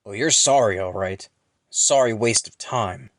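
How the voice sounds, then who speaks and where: A man's voice: fairly low pitch, unhurried, dry recording, studio quality, lightly acted. A man playing a bitter, resentful martial artist, aggressive and sneering, in a dramatized audio story. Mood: scornful, irritated.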